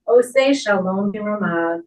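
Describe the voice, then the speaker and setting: A woman's voice: mid-range voice, very fast. A woman officiating a memorial service in a formal speaking style. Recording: roomy echo, distant microphone.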